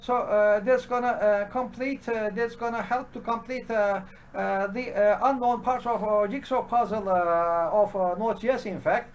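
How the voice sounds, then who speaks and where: A man's voice: sits high, steady tone, slight background noise. Hesitant man with a Turkish accent. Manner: halting, heavy with "uh" fillers and restarts. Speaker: programming channel host. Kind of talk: unscripted solo commentary.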